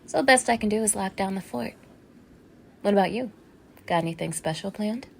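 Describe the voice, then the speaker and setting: A woman's voice: medium pitch, moderate pace, gravelly, a little hiss or hum, natural voice. A woman acting in an intimate audio roleplay as a teasing, fake-friendly young neighbour with obsessive menace under her casual, coaxing talk. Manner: casual, coaxing, teasing, with small laughs and hushed asides.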